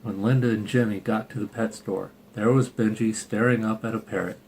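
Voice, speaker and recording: A man with a fairly low voice reads a picture book aloud in an informal way. He is irreverent and apt to break off for sarcastic, sweary asides. There is some noise on the home recording. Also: steady tone, brisk, darkish tone. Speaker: storybook reader and channel host. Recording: clearly noisy home recording.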